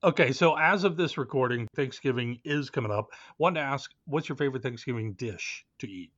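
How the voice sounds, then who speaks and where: A man's voice: mid-range voice, a little fast, a bit bright. A man hosting a podcast, asking questions in a casual, conversational way. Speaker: podcast host. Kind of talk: casual interview chat. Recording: slightly dull, lo-fi sound.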